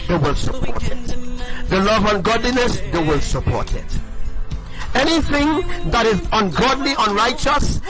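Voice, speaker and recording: A man with a very high voice preaches on a livestream with fervor. He drives each point home by repeating it and checks in with his listeners again and again. Music plays clearly behind him. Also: rough recording. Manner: emphatic and repetitive, asks the audience "right?".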